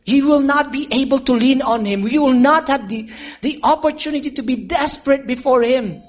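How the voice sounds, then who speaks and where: A man's voice: extremely high pitch, normal speed, lo-fi sound. A man preaching a sermon, telling a story and calling his listeners friends. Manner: earnest, addresses listeners as friends, asks for amens.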